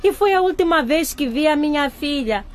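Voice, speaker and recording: A woman's voice: high voice, expressive, moderate pace. A woman playing a middle-aged grieving mother in a radio drama, frank, emphatic and earnest. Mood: grief-stricken. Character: grieving mother, blunt, guilt-ridden, advising a younger woman.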